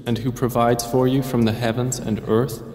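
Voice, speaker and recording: A man reading a scripture translation in a calm, measured voice, pausing between phrases. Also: medium pitch. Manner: calm, even delivery with pauses between phrases. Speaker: translation narrator. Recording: echoey hall with reverb.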